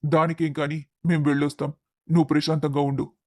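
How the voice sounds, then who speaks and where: A man's voice: fairly high pitch, level tone, fairly quick, lightly acted. A man playing a composed, concerned middle-aged brother-in-law in a family story. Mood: concerned, placating. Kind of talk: story acting.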